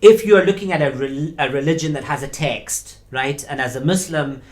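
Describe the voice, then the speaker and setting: A man, a university lecturer as interview guest, speaking in a thoughtful, measured way with pauses. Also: fairly high pitch. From Scotland. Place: small studio.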